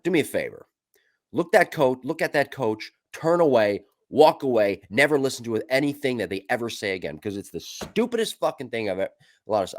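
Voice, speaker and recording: A man co-hosting a podcast, stuffed up from a cold, talking in a casual, animated and profane way. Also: medium pitch, no room sound, studio quality. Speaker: podcast co-host.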